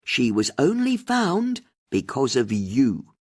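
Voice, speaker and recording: A man reading aloud, voicing a brisk, upbeat woman who presents a local radio show. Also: medium pitch, wildly swooping pitch, darkish tone, breathy edge, heavily acted. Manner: upbeat radio personality, breezy.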